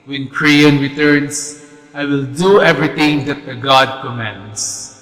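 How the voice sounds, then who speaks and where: Man acting as a solemn king, slow and echoing. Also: medium pitch, steady tone, fairly bright tone. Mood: sorrowful, hopeful. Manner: declamatory, stately. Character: solemn, commanding king of ancient Thebes, protective of his people. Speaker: actor in a readers' theatre play. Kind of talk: staged readers' theatre of Greek tragedy. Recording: echoey hall reverb with music behind.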